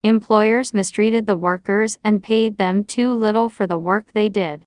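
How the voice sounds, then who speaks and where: A woman narrates a prepared passage as read-aloud practice. Her pitch is average for a woman, and the recording is dry, free of any echo. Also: steady tone, a little slow, darkish tone. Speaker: reader of exam practice passages.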